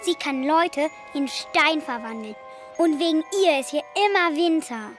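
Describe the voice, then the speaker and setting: An actress playing an earnest, excitable little girl in a German audio drama. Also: high voice, unhurried, soft background music. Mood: earnest, grave. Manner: excitable, insistent.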